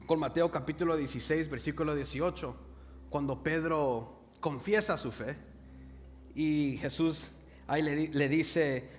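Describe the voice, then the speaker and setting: Young Salvadoran preacher in echoing hall. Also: male voice, on the high side. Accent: Salvadoran Spanish. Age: young adult. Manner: calls the congregation to repeat words, slows for emphasis. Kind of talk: sermon. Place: church hall. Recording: reverberant hall with faint noise.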